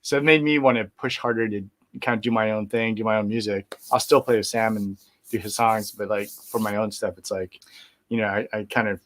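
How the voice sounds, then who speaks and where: Relaxed rambling man in conversation. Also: on the low side, fairly quick. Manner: relaxed, rambling, many fillers and restarts. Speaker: guest musician. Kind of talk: casual interview conversation.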